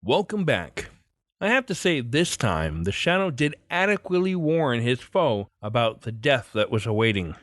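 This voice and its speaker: A man's voice: mid-range voice, very animated, moderate pace, fairly bright tone, dry recording. A man hosting a podcast with scripted narration. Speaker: podcast host.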